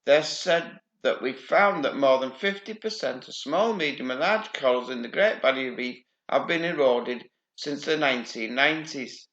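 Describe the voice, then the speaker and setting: A man's voice: mid-range voice, normal speed, few pauses, fairly bright tone, echoey room, dull audio. A man reading news reports aloud and breaking in with dry, sardonic asides and casual swearing. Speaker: channel host.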